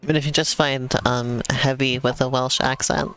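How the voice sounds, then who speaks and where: A man's voice: mid-range voice, normal speed, faint music behind. A man co-streaming a video game, chatting in an easygoing way and asking curious questions. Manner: relaxed, easygoing, asks questions. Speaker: streamer co-host. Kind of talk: casual chat while gaming.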